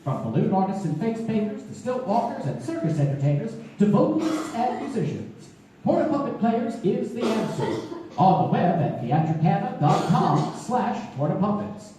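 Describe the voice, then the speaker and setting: A woman's voice: medium pitch, big pitch swings, moderate pace, mellow. A woman reading scripted sponsor announcements in a polished, promotional manner. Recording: echoey theater from far off, faint noise.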